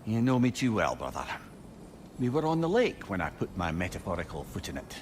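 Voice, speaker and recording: Casting: witty, chatty older storyteller for a game, very lively delivery, noise behind. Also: male voice, sits low, fairly quick. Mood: wistful, wry. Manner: wry, expressive storytelling. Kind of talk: dramatic video game acting.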